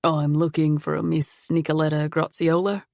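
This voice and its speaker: A woman voicing a calm, soft-spoken young man with a refined foreign accent, slow and measured. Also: fairly low pitch, dry recording, clean studio sound.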